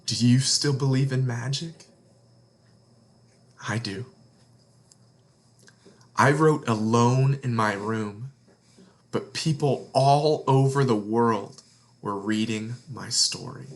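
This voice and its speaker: Slow, expressive male children's story reader. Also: mid-range voice. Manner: earnest, deliberate, with dramatic emphasis and hushed phrase endings. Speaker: read-along host reading children's books.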